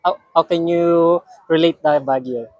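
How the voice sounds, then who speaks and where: Low-voiced Filipino woman interviewing. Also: fairly even intonation, darkish tone, dry recording. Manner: halting, repetitive phrasing. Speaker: vlog host and interviewer. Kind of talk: informal vlog interview.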